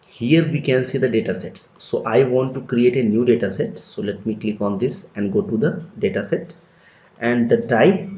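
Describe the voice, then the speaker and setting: Guy walking through a software tutorial, dark mellow voice, echoey dull recording. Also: mid-range voice, normal speed. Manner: steady explanatory walkthrough, repeats phrases. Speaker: tutorial instructor. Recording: dull lo-fi roomy sound.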